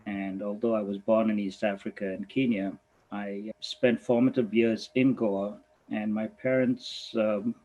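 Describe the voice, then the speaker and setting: A man's voice: on the low side, little pitch movement, mellow, low-quality recording. Sixty-something man from Goa. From Goa, India. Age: 60s. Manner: thoughtful, halting, laughs easily. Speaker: veterinarian guest. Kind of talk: reflective conversation.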